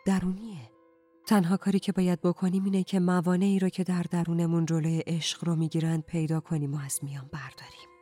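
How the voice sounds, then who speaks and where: A woman voicing a middle-aged wife in a novel, soft, measured and quietly resolute. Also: on the low side, expressive, breathy edge, faint music behind. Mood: subdued.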